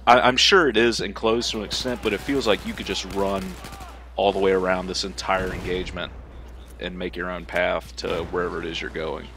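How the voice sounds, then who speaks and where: A man's voice: low-pitched, flat delivery, brisk, creaky, pushed and bright, a little hiss or hum. A man co-commentating on a video game in casual, joking, reactive chat. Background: faint video game sounds.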